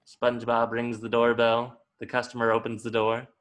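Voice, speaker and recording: Bright-voiced man reading stage directions. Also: medium pitch, completely flat delivery, normal speed. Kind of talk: scripted narration.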